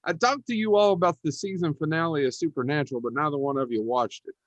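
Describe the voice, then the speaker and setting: A man's voice: fairly high pitch, fast, no echo, highs cut off. An American man bantering casually as a podcast host.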